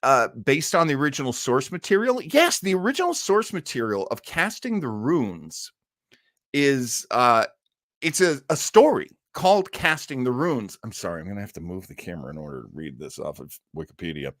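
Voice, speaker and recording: A man hosting an old time radio livestream in a casual, chatty, enthusiastic way, often rambling and pausing to search for words. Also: mid-range voice, big pitch swings, a bit bright, dry recording, clean studio sound.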